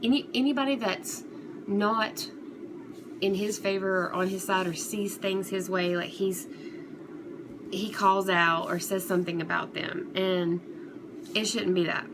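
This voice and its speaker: A woman's voice: medium pitch, fairly even intonation, a little slow, long pauses. A woman giving casual, unscripted opinion commentary as a vlogger, talking in a hesitant, rambling, conversational way. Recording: clearly noisy background ambience.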